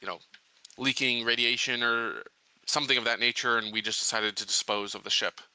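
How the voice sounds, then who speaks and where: A man playing a tabletop roleplaying game, talking casually and reasoning through plans in a deliberate way. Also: mid-range voice, steady tone, pushed and bright, clear, resonant tone, dry recording, rough recording. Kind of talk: casual in-game planning discussion.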